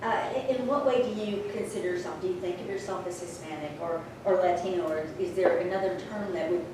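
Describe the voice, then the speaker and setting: A woman's voice: on the low side, fast talker. A woman from Spain moderates a panel, stumbling and restarting her questions as she asks them. She sits far from the microphone. The room has heavy echo, with a layer of background noise. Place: large echoey lecture room. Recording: echoey room, distant mic, background noise.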